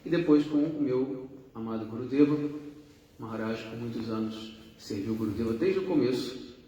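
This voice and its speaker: Host type: man, mid-pitched, rambling, self-correcting, filler-heavy, recorded from far off in a reverberant temple hall. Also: moderate pace, warm tone, lo-fi sound. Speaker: group leader introducing guest speakers. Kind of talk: informal introduction at a religious gathering. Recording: echoey hall, distant mic, faint hum.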